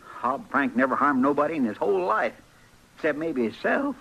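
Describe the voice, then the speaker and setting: Folksy Western deputy, rural drawl, very animated, high voice, homespun grumbler, noisy old radio western. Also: male voice, normal speed, gravelly, breathy edge, lo-fi sound, full character voice. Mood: indignant. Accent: rural American Western drawl. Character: folksy, excitable frontier deputy, loyal and grumbling. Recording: noisy vintage radio broadcast.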